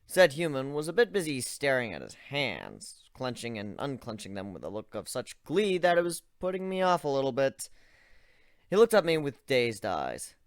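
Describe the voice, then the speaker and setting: A man's voice: mid-range voice, wildly swooping pitch, normal speed, no echo, studio quality. A man giving a first-person dramatic reading of a story, with a conversational, wry delivery. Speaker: story reader.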